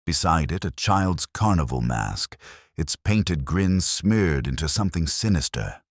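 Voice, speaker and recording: A man reading a scripted mystery story in a measured, wry, literary way. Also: a bass voice, animated, no echo, clean studio sound. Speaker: narrator.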